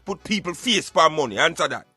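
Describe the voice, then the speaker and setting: High-pitched Jamaican man, bright and forceful. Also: very animated, fairly quick, breathy edge, no echo, faint music behind. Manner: emphatic, argumentative, speaking in Patois. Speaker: radio show co-host. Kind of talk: impassioned debate.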